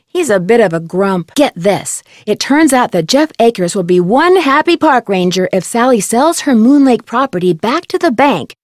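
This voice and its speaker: A woman playing a friendly, curious young amateur sleuth in video game dialogue, speaking clearly, politely and inquisitively in a conversational way. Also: a little high, very animated, moderate pace, few pauses, no echo, clean studio sound. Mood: confiding, conversational.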